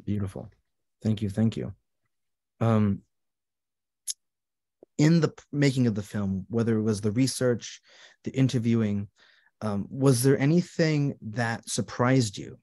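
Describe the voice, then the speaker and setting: A man's voice: mid-range voice, unhurried, long pauses, warm tone. A man, a rabbi, moderating a post-film Q&A and welcoming guests with repeated phrases.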